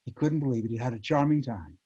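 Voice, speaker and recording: An older man reminiscing casually as a panel guest, telling stories in a halting, rambling way over an online call. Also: mid-range voice, warm tone, lo-fi sound. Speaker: panel guest, former child radio actor. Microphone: video call.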